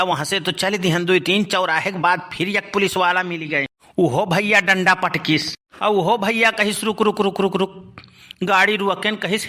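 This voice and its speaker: A man's voice: sits high, sharp tone. A man playing a folksy, rambling village uncle in a cartoon, with an Awadhi accent. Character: folksy village uncle telling tall anecdotes. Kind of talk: cartoon storytelling in rustic dialect.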